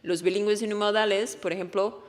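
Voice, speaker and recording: A woman, a native Portuguese speaker with a Portuguese accent, gives a research presentation in an explanatory, halting way, often correcting herself. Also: mid-range voice, steady tone. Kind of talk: conference presentation. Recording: echoey hall with long reverb.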